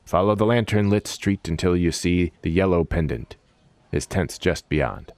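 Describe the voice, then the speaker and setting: Army captain voiced by a man: burly, gruff, dismissive, low register, fantasy storytelling. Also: moderate pace, darkish tone, dry recording. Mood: curt. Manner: curt, dismissive.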